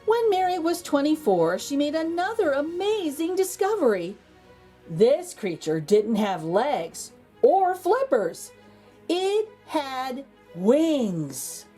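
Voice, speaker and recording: Animated, high-pitched woman reading to children. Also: faint music behind. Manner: theatrical, with dramatic pauses and emphasis. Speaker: children's read-aloud host. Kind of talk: expressive children's picture book narration.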